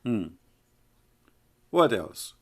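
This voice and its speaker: A man's voice: mid-range voice, very animated, extremely slow. Scornful man. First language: Arabic. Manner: mocking, scornful, calls names. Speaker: online commentator. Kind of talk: heated argumentative commentary.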